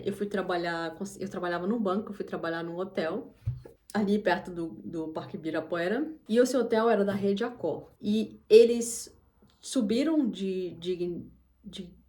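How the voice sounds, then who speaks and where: A woman's voice: mid-range voice, mellow. A woman, talking casually to camera as the host of her channel, rambling with many restarts and asides. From Brazil. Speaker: English teacher and channel host.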